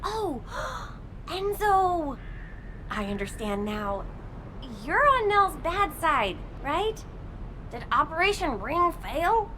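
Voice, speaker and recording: Young female game fighter: bright, sharp, lively, cheeky, can't sit still, impatient. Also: very high voice, slow pace, slight background noise, full character voice. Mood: teasing. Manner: impatient, exclamatory, teasing. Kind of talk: video game voice acting.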